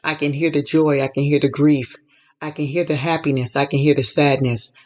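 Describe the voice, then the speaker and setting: A woman hosting a podcast, giving an inspirational faith talk with a measured, repetitive preaching cadence. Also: deep voice, steady tone. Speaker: podcast host.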